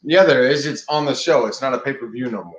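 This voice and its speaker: A man's voice: mid-range voice, quick pace. Man, co-host, blunt, contrarian, muffled, roomy. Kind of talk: casual live review chat.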